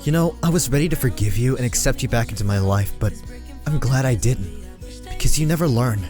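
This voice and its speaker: A man's voice: medium pitch, animated, a little fast, darkish tone, faint music behind, natural voice. A man playing a young man betrayed by secrets, accusing and emotional, in amateur roleplay acting. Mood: hurt, accusing.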